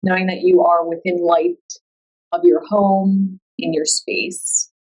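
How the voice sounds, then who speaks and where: A woman guiding a meditation in a calm, soothing, unhurried way, leaving long pauses between instructions. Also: on the low side, poor audio. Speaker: yoga nidra meditation guide. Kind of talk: guided meditation.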